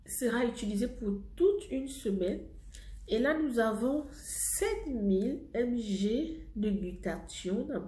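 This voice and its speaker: A woman's voice: on the high side, dark tone, reverberant. Chatty woman with a head cold. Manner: chatty, repeats herself, laughs often. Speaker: cosmetics seller presenting a product. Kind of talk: casual product vlog to subscribers.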